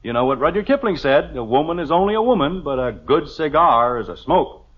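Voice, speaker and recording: Flustered american radio sitcom dad, stammers and blusters, voice all over, muffled noisy old recording. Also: male voice, on the high side, big pitch swings, a little fast. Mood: flustered, blustering. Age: middle-aged. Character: evasive, flustered middle-aged suburban husband and father. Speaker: actor in a radio situation comedy. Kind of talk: old-time American radio comedy acting.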